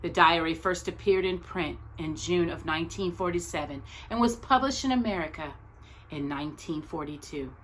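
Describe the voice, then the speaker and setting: A woman reading aloud as a storytime host, speaking earnestly and deliberately to young viewers, phrase by phrase. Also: on the low side, a bit bright, slight background noise, low-quality recording.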